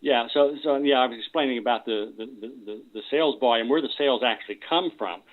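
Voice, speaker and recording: A man's voice: medium pitch, fast. A man explaining business matters off the cuff on a conference call, often repeating words as he starts a sentence. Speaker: company presenter on a conference call. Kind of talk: unscripted explanatory business talk. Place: conference call over a phone line. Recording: tinny phone-line conference call audio.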